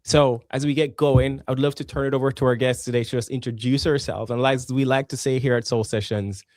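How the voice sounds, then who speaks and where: A man's voice: medium pitch, steady tone, fairly quick, a little mellow, no echo, clean studio sound. A man hosting an interview show, welcoming his guest and asking curious questions over a video call. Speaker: podcast host.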